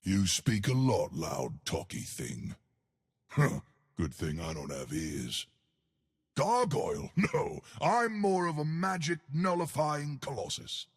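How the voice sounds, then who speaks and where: Big dumb friendly stone giant from a game, super gravelly n husky, boastful, pitch swinging all over. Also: male voice, big pitch swings, slow pace, exaggerated voice. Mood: amused, blustery. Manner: boastful, playful, blunt and simple wording. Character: huge friendly stone gargoyle colossus, blustery, dim, big-hearted protector. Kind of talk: video game character voice lines.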